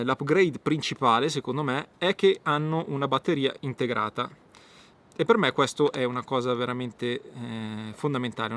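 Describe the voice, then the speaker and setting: A man giving an informal product review, explaining step by step with hesitant pauses. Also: a little high, a bit bright, slight background noise.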